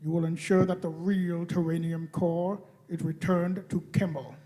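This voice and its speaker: A cold alien war-machine commander voiced by a man in a radio play. The voice is high and commanding, delivering clipped orders with menace. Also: fairly even intonation, normal speed, warm tone, close to an everyday voice. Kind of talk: radio play performed by amateur players.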